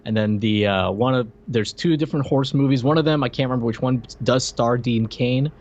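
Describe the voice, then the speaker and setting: A man's voice: medium pitch, fairly quick, darkish tone. A slightly dull, lo-fi recording of a man with a mid-range voice. He talks casually about films as a podcast co-host, with a dry, wry delivery. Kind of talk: casual film discussion chat.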